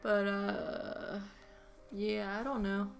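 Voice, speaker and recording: A woman's voice: mid-range voice, flat delivery, extremely slow, creaky, over music. A woman co-hosting a podcast, bantering casually in a blunt, slangy way. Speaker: podcast co-host.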